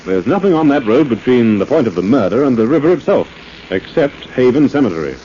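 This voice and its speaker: A man playing a composed, thoughtful gentleman sleuth in a 1940s radio drama. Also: mid-range voice, big pitch swings, a little fast, natural voice. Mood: deliberate. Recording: muffled, hissy old radio recording.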